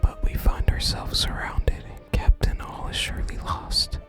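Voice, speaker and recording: Whispering ASMR gamer over game music. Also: measured pace. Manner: soft whispering, reads game text aloud. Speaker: host of a gaming ASMR channel.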